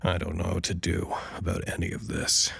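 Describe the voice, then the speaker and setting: A man's voice: deep voice, completely flat delivery, normal speed, vocal fry, a bit bright, breathy edge, heavily acted. A man playing a wry, sardonic stranded doctor in an audio drama, speaking with dry, articulate sarcasm. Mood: wry, weary. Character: wry, sardonic male doctor stranded at a cut-off research site. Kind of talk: audio drama acting, conversational.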